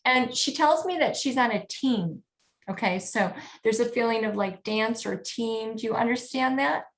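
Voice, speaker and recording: Soft-spoken woman medium, high voice. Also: normal speed, rough recording. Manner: soft-spoken, frequent checking questions. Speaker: spiritual medium. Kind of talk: live spiritual reading.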